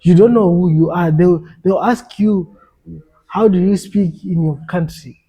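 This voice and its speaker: A man's voice: high-pitched, a little slow, mellow, husky, low-quality recording. A young African man talking earnestly in a casual podcast conversation, often repeating and restarting his words.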